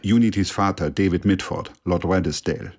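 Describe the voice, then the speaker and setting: A man's voice: low-pitched, brisk, gravelly, darkish tone. A man playing a suspicious, officious surveillance official in a documentary radio drama, speaking in a clipped official manner.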